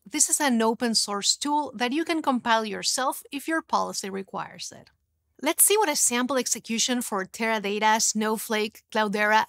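A woman in her thirties presenting a scripted technical explainer in a studio, in a clear, even, instructive way. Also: a little high, dry recording. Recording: dry studio sound.

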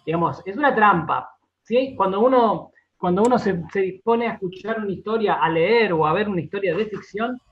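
A man's voice: high-pitched, animated, fairly quick, muffled recording. An Argentine man explaining things as an interview guest, repeating words and often checking in with short tag questions. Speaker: radio drama director, interview guest.

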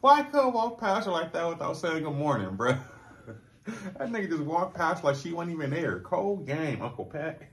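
A man's voice: a little high, big pitch swings, normal speed, fairly bright tone. A man in his thirties with a Californian accent, giving casual reaction commentary in a slangy, profane way. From Long Beach, California. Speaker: reaction video host. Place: small room with foam-panelled walls.

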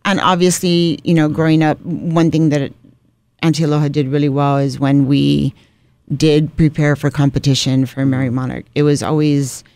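Mellow-voiced woman telling her story. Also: sits low, little pitch movement, normal speed, dry recording. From Hawaii. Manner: warm storytelling, often adds "you know". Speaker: radio executive and podcast guest. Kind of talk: casual interview chat. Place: small radio studio. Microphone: studio broadcast mic on boom arm.

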